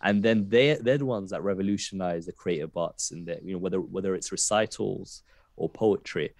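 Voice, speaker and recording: A man's voice: low voice, normal speed, creaky, darkish tone, no room sound. A man in his thirties with a West London accent, a poet talking casually on a video-call podcast, thoughtful and hesitant with many fillers. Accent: West London English. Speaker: poet guest. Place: home room, video call.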